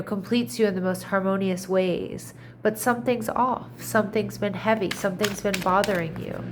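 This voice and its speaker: A woman's voice: medium pitch, slight background noise. A slightly congested woman gives a casual tarot reading to camera, speaking in a chatty, reassuring way with frequent restarts as she thinks aloud. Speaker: tarot reader.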